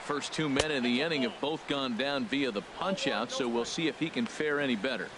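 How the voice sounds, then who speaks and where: A man playing a play-by-play baseball announcer in a video game, with a measured, polished delivery. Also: medium pitch, close to an everyday voice. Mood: steady, attentive. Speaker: play-by-play sports commentator. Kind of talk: scripted baseball commentary. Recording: loud stadium crowd noise over voice. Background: baseball stadium crowd in a video game.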